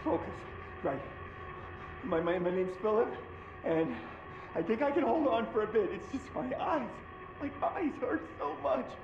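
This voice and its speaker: A man's voice: very high voice, expressive, a little slow, vocal fry, pauses often, full character voice. An actor playing a panicky, exhausted employee pleading for rescue in a video game, stammering as he goes. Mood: strained. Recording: muffled, echoey cell with music behind.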